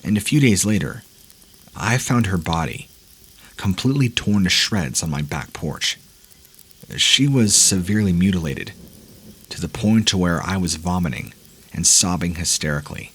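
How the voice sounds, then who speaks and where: A man reading listener-submitted scary stories aloud in a measured, ominous storytelling manner. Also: low voice, animated, fairly bright tone, slightly rough. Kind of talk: scripted horror narration.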